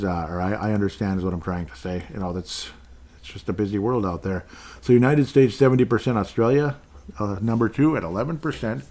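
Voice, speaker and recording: A man's voice: on the low side, darkish tone. A man hosting a sports podcast on his own, chatting casually and rambling as he thanks listeners by name. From Minnesota. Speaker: podcast host. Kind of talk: casual solo podcast chat.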